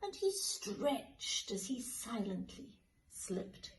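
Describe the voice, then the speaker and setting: A woman teacher reading a children's picture book aloud in a slow, lilting, expressive way. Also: on the high side, slight background noise, poor audio. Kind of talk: storybook read-aloud for children.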